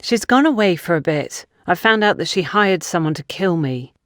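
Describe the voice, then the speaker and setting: A woman's voice: on the low side, expressive, normal speed, a bit bright, dry recording. Woman playing an earnest, probing woman, close and dry. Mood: probing, calm. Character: composed, probing woman with a grievance against her family.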